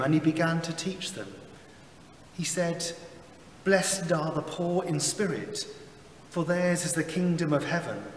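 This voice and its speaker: A man in his thirties leading worship as a chaplain, reading psalms and prayers in a slow, measured liturgical way, recorded in a small chapel from a camera at a distance. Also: on the high side, reverberant, big-room sound, a little hiss or hum. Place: small chapel with wood-panelled wall. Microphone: far camera, seated behind a lectern.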